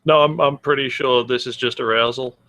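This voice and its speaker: A man's voice: mid-range voice, fairly even intonation, a little fast, bright, forceful tone, no echo. A man co-hosting a podcast in casual banter, with a sardonic, sweary way of talking. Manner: sardonic, profane. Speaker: podcast co-host.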